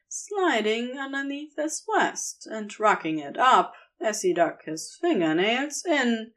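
A woman reading scripted fiction as a narrator. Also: medium pitch.

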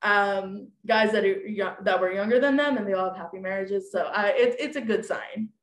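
A woman in her late twenties tells a personal story in relaxed chat, full of likes and restarts. She slips into other people's voices for quoted lines. The room around her is echoey. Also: mid-range voice, moderate pace. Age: 20s. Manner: animated, acts out quoted dialogue, many fillers. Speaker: podcast guest.

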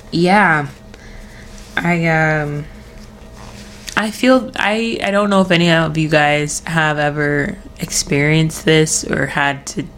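A woman's voice: sits low, a bit bright, slight background noise. A young woman hosting her own podcast, chatting casually in a rambling, giggly way while losing her voice. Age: young adult. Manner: rambling, self-interrupting, giggly. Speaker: podcast host. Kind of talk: casual solo podcast chat. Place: at home, early morning.

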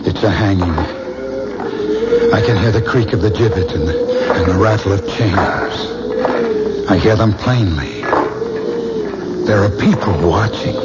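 Tormented, halting husband, 1970s radio drama; dramatic inflection, muffled noisy recording. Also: male voice, on the low side, heavily acted. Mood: haunted, dread. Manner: halting, repeats words. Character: tormented husband haunted by a past life, guilt-ridden.

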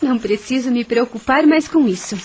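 An actress in a radio drama playing a gentle, earnest young heiress with polite, formal, expressive speech. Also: female voice, fairly high pitch, brisk, background noise, lightly acted. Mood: relieved, grateful.

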